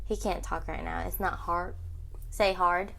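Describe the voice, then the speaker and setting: A woman's voice: lowish voice, fairly even intonation, unhurried, gravelly, bright, forceful tone. A young woman chatting informally and teasingly as a podcast co-host. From United States, Texas. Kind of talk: casual chat.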